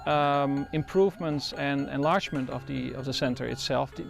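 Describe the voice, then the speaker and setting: Male center director, gravelly tone, measured and hesitant delivery, music bed underneath. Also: mid-range voice, fairly even intonation. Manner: thoughtful, frequent pauses and restarts. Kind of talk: interview.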